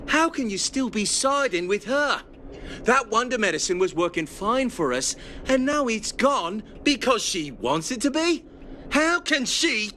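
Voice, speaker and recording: Game malcontent: high-pitched, swooping, resentful and mocking, over noisy scene ambience. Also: male voice, very animated, unhurried, pushed and bright, full character voice. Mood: resentful, threatening. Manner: sarcastic, mocking. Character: resentful, sneering male clinic patient. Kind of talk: video game cutscene acting.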